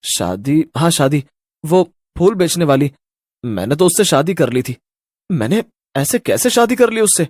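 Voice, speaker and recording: A woman's voice: low-pitched, very animated, a little mellow, no echo, clean studio sound, pitched below the performer's own voice, exaggerated voice. A woman voicing a dazed, confused young husband and son in a storybook tale. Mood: dazed, baffled. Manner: halting, baffled. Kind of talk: dramatized storybook voice.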